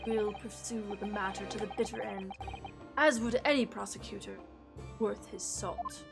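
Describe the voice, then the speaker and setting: A woman's voice: mid-range voice, normal speed, creaky, lightly acted. A woman playing a stern, proud male official in a video game, formal and indignant. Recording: music under the voice.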